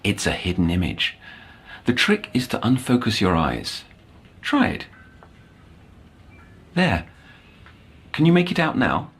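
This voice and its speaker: An actor playing a polite, probing counsellor to troubled children in an audio drama, formal and coaxing, choosing words precisely. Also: male voice, mid-range voice, huge pitch swings, slow pace, long pauses, a bit bright, slightly rough, heavily acted. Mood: coaxing, controlled. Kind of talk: scripted audio drama acting.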